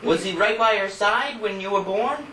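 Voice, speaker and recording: A man chatting and gently teasing his grandmother during a family recording. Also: sits high, normal speed. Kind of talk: casual family chat. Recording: echoey home video, distant mic, faint hiss.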